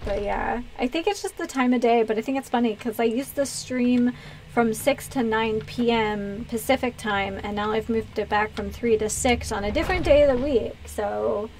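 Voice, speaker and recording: American female streamer, slightly high-pitched, casual rambling chat, light noise behind the voice. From US East Coast, Pennsylvania. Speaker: video game streamer and host. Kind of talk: casual livestream chat with viewers.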